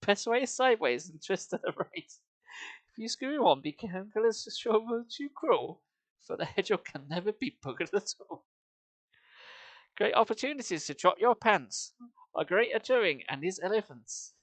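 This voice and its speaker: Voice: mid-range voice, fairly bright tone, no room sound. A streamer reading comic verse live in an amused, lilting singsong, with chatty asides.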